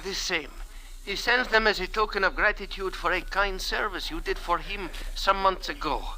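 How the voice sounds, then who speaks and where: A man's voice: sits high, moderate pace, pushed and bright, slight background noise, full character voice, rough recording. A man playing a servile, superstitious little Egyptian messenger in an old-time radio drama, speaking in ornate, deferential phrases. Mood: humble, courteous. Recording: vintage radio drama recording.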